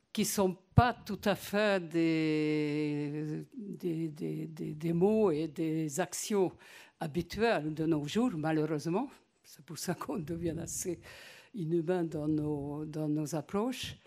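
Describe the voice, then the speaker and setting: An older woman whose first language is not French, asking a long question from the audience at a conference in a hesitant, halting way with many restarts. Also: low voice, a little mellow.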